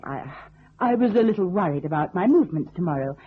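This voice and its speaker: An actress playing a haughty, poised movie star with a prim, formal manner in a radio detective drama. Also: female voice, lowish voice, lively intonation, quick pace, breathy edge. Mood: cool, evasive. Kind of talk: old-time radio detective drama acting. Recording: muffled, narrow old radio recording.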